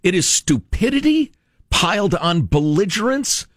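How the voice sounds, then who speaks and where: American guy talk radio host, really animated delivery, stresses his points, dry studio mic. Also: high voice, unhurried, no echo. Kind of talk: reading a letter aloud with conversational commentary.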